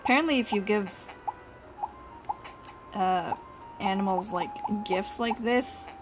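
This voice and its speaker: A woman streams a video game with casual, playful commentary. She talks to the game and exclaims at it in a mid-pitched voice. Game music plays clearly behind her. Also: takes it slowly, poor audio. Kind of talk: casual gaming commentary, reading game dialogue aloud.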